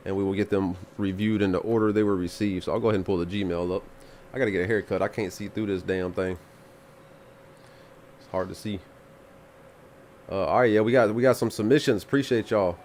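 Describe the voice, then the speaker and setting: A man's voice: on the low side, fairly even intonation, brisk, long pauses, a little mellow, a little hiss or hum. Stuffy-nosed laid-back man. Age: adult with children. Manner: laid-back, rambling, swears casually. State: congested, recovering from flu. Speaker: live music review host. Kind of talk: casual live stream chat.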